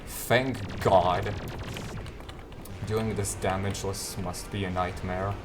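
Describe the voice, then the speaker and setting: A man giving an off-the-cuff video game walkthrough in a low-key, hesitant way, often trailing off. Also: level tone, slow, over music. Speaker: gamer giving a guide.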